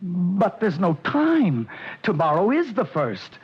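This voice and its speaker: A man's voice: high-pitched, wildly swooping pitch, takes it slowly, slightly rough, full character voice. A stammering, nervous businessman. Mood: pleading. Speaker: narrator and lead. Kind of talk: 1940s radio drama acting. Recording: dull lo-fi old radio broadcast.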